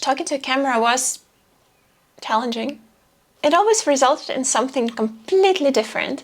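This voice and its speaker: A woman talking to camera in a candid, self-deprecating vlog, with a soft, quiet voice. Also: a little high. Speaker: vlogger. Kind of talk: reflective vlog talk to camera.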